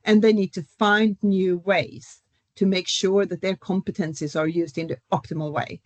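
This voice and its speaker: A middle-aged professor, speaking as a guest in an academic panel discussion. She explains at a measured pace, with frequent fillers. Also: lowish voice, steady tone, no room sound, muffled recording. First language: Swedish.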